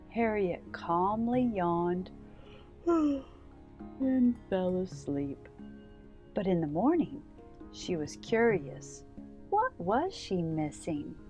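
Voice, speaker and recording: A woman hosting a children's story time, reading a storybook aloud with gentle, deliberate phrasing. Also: on the low side, muffled recording. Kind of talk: read-aloud storybook narration.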